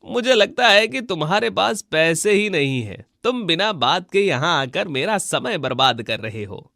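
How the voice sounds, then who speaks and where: A man's voice: sits high, very animated, a little slow, vocal fry, pushed and bright, dry recording, studio quality, heavily acted. A man voicing a proud, irritable village cattle trader in a cartoon, boastful and brusque. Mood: irritated, scornful. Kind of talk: Hindi cartoon moral-story dubbing.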